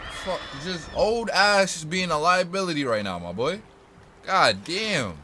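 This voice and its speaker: A man's voice: on the high side, expressive, unhurried, pushed and bright, a little hiss or hum. A young man giving casual, impatient, profane and joking commentary while he plays a video game. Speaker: game streamer.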